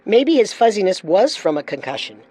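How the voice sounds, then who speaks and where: A woman's voice: mid-range voice, very animated, fairly quick, warm tone, husky. A woman narrating a scripted true-crime story as host.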